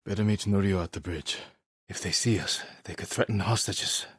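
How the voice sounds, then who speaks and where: A man playing a solemn, resolute samurai warrior in a video game, speaking in a husky, measured, grave way with short phrases. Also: low voice, dry recording, studio quality. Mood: grave, focused. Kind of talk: dramatic video game character dialogue.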